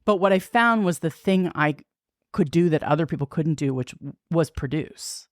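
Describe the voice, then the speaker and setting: A woman's voice: sits low, normal speed, dry recording, studio quality. A woman in her fifties, a podcast guest talking frankly and with self-mocking humor. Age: 50s. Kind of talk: candid conversational interview.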